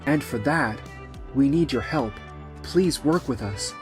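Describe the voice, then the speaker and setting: A man plays a courteous businessman in a manga dub. His voice is mid-pitched and he speaks earnestly over background music. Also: lively intonation, a little slow, close to an everyday voice. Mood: earnest, grateful. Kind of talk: manga dub character acting.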